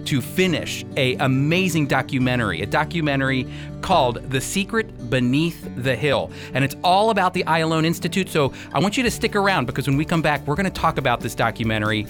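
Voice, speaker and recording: A man's voice: on the high side, expressive, fairly quick, music in the background. Conversational male radio interview host.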